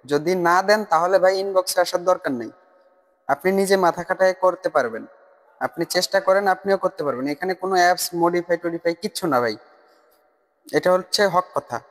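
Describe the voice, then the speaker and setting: High-pitched man in heavy reverb. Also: a little fast. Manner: insistent, repetitive, addressing viewers as brother. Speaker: tutorial video creator. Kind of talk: casual explanatory voice-over for a screen recording. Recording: long reverb on the voice. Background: voice-over recorded separately from the screen capture.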